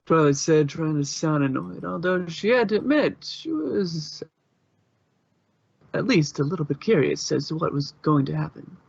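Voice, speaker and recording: A man's voice: a little high, expressive, moderate pace, gravelly, slightly rough, no room sound, dull audio, heavily acted. A man reading scripted story narration, as the narrator of an amateur audio drama.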